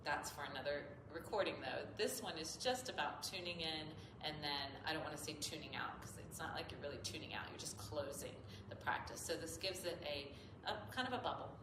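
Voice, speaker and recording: Low-voiced woman teaching yoga, echoey room. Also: animated, a little fast, few pauses. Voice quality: slightly nasal. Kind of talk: spoken tutorial, informal explanation. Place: echoey indoor yoga studio. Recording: roomy echo, clearly noisy background.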